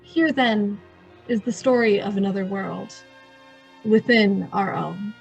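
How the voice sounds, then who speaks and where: A woman announcer narrating an old-time radio drama in a solemn, deliberate way with dramatic pauses. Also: mid-range voice, creaky, lo-fi sound. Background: music.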